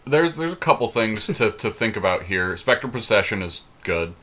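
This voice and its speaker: A man's voice: lowish voice, normal speed. A man co-hosting a podcast, chatting casually and thinking aloud with lots of fillers while drafting cards. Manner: relaxed, thinks aloud with frequent fillers and occasional swearing. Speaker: podcast co-host. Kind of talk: casual chat while drafting a card game.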